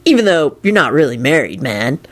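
A woman's voice: low voice, very animated, fairly quick, pitched below the performer's own voice. A woman voicing a gleeful, stubborn young stoner man in an audiobook, with a slangy, smug drawl. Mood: smug, unsympathetic. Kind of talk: audiobook character voice.

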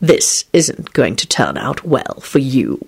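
A woman puts on a dangerous male wizard from an audiobook. He speaks coldly and deliberately, pitched well down, with quiet menace. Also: big pitch swings, vocal fry, breathy edge, full character voice. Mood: cold, calm. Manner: cold, slow, deliberate menace. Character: cold, dangerous tall male stranger with wand.

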